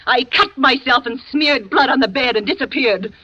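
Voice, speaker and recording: An actress playing a jealous, coldly menacing wife bent on revenge, in the style of a 1940s radio thriller. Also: female voice, high-pitched, moderate pace, bright, forceful tone, dry recording. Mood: vindictive. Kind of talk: 1940s American radio suspense acting. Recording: muffled vintage radio broadcast recording.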